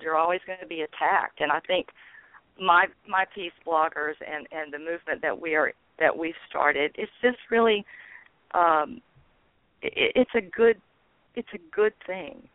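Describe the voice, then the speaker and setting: A woman in her sixties, a guest on a radio interview, talking casually and haltingly with many ums and restarts. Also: on the low side, no echo. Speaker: guest, founder of a peace network. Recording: tinny phone line.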